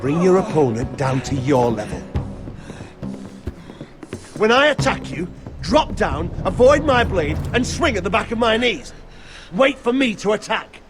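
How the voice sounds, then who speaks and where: Blunt, high-pitched knight drill master. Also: male voice, big pitch swings, unhurried, long pauses, music in the background. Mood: firm, instructive. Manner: blunt, challenging, commanding. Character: gruff, blunt veteran knight coaching his injured lord. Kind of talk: video game dramatic acting.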